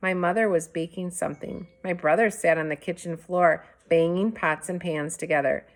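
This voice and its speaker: A woman narrating a children's story aloud as a teacher would, with expressive delivery. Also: fairly low pitch, moderate pace.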